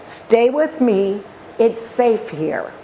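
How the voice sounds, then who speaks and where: A woman voicing a gentle, fatherly village maker in a storybook, with a solemn, measured manner. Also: fairly high pitch, big pitch swings, a little hiss or hum, heavily acted, low-quality recording.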